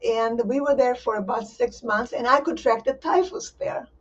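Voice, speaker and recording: An older woman who grew up in Israel, recalling her early life in a halting way, with many restarts. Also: a little high, a bit bright. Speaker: poet and professor, interview guest. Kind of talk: virtual interview, personal recollection. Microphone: video call. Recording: distant, muffled video call audio.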